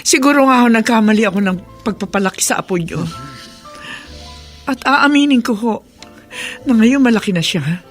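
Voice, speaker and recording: A woman's voice: a little high, animated, moderate pace, pauses often, soft background music, natural voice. A woman acting a weary, earnest mother of a wayward daughter in a radio drama. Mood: regretful.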